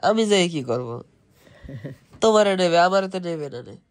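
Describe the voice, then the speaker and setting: A man's voice: sits high, lively intonation, moderate pace. A young man with an East Bengali accent, chatting casually with family as a home vlogger.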